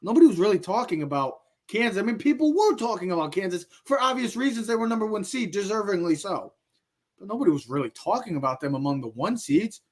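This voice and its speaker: High-voiced man talking sports. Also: very animated, quick pace. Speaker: sports podcast co-host. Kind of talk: casual sports talk, commentary.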